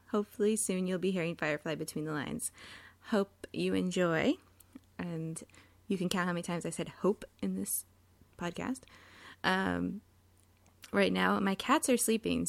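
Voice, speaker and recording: A woman's voice: fairly low pitch, creaky, no room sound. A woman hosting a podcast, giving a casual, enthusiastic introduction. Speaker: podcast host.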